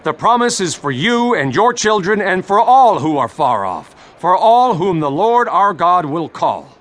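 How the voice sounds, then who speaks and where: An actor playing an earnest apostle preaching boldly, a man declaiming deliberately in a dramatized audio Bible. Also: sits high, big pitch swings, fairly bright tone, exaggerated voice. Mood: assured, urgent. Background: music.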